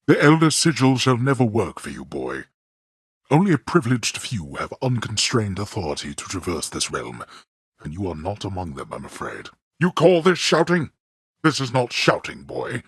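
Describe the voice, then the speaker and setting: A man's voice: lowish voice, husky, dry recording, clean studio sound. An actor playing a stern, imperious male god of the dead who speaks formally and coldly, in a game. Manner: formal, cold and commanding, with clipped rebukes. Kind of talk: video game voice acting.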